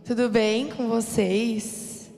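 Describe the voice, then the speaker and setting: A hoarse young woman preaching a sermon to a church youth group, chatty and calling out for amens. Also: a little high, steady tone, very slow, darkish tone, long reverb tail. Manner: conversational, calls for amens. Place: church youth service hall.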